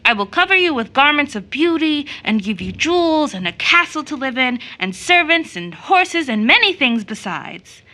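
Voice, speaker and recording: A woman's voice: sits high, sing-song, normal speed, hardly any pauses, pushed and bright, voice pushed up, exaggerated voice. A woman storyteller voicing a pompous, commanding, rich old man who tempts children in a fairy tale. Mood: persuasive.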